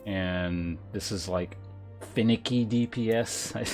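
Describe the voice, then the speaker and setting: A man's voice: on the low side, takes it slowly, darkish tone. A man livestreaming a game, chatting informally as he thinks aloud and reads game text. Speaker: game streamer. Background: game music.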